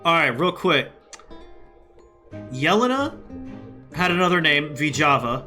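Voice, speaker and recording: A woman's voice: low voice, very animated, measured pace, sharp tone. A woman doing casual, slangy gameplay reaction commentary, brash, profane and exclamatory. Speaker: gameplay streamer. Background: game music.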